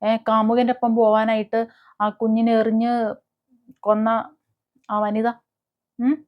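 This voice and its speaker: A woman hosting a commentary video, talking casually and with strong opinions in a halting, emphatic way. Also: a little high, flat delivery, lo-fi sound. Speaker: host of a gossip and commentary video channel.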